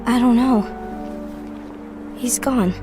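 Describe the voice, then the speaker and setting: Earnest young female warrior leader, game cutscene: high voice, emotional and deliberate, prominent music behind. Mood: subdued worry. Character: earnest, protective young woman leading a warrior union. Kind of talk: dramatic video game cutscene acting. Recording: loud music under the voice.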